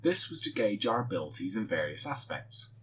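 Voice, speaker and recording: Give me a man with a low, animated voice reading a story expressively. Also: a little fast, husky, poor audio. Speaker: fan fiction reader. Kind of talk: reading a first-person story aloud.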